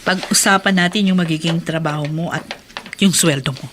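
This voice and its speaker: An actress with a Filipino accent playing a tired but kindly, frank housewife in a radio drama. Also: female voice, sits low, moderate pace, background noise, natural voice. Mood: curious, kindly.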